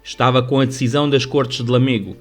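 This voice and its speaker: A man with a European Portuguese accent giving formal, measured, scripted literary narration for a radio drama. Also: mid-range voice, fairly even intonation. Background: music under the voice.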